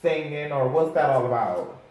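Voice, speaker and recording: A man's voice: on the high side, expressive, moderate pace, darkish tone, slight background noise. Man, American accent, encouraging chatty host, sassy slang, heavy room echo, off-mic. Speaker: host interviewing a guest; makeup artist. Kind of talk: casual, chatty interview talk. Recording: very echoey room, far from mic.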